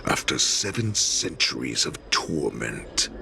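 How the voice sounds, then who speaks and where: An actor playing an ancient, sly, captive wolfish warlord in a game, speaking with slow theatrical menace and breathy drops toward a whisper. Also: male voice, very deep voice, very animated, background noise, heavily acted. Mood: hungry, menacing. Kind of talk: dramatic game cutscene monologue.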